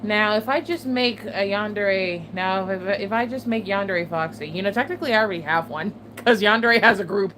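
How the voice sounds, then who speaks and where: Chatty woman over steady background noise. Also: mid-range voice, normal speed. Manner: chatty, swears often, laughs between remarks. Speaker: channel host. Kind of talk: casual chat to viewers.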